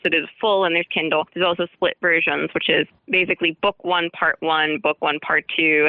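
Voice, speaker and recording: A woman's voice: lowish voice, sharp tone, no room sound, low-quality recording. A woman speaking as a guest author in an interview, explaining earnestly in digressive sentences with formal vocabulary.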